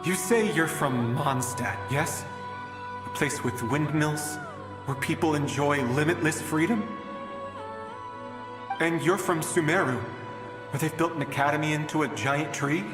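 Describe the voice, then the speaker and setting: A man plays a despairing, searching father from a lost age in a video game. His voice sits slightly high and moves haltingly, polite and resigned. It rings through a long, echoey hall with music clearly behind it. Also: lightly acted. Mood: weary, resigned. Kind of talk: dramatic video game voice acting.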